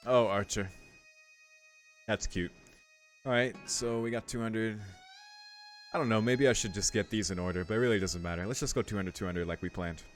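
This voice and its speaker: A man's voice: fairly low pitch, fairly even intonation, moderate pace, long pauses, soft background music. A man giving casual, laid-back commentary as he plays through a game, murmuring short reactions. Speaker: video game streamer.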